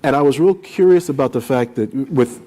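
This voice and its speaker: A man's voice: mid-range voice, brisk, mellow. Man casually moderating a live panel. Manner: informal, conversational.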